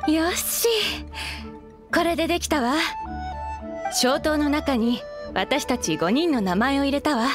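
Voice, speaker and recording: A courteous young craftswoman from an anime game. Her voice is high, with composed, feminine phrasing. Music plays behind her. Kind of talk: Japanese anime game voice acting.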